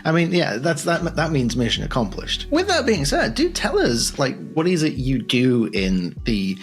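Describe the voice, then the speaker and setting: A man's voice: fairly high pitch, huge pitch swings, normal speed, soft background music. A man speaking as a podcast host in a conversational interview, with courteous, slightly theatrical phrasing.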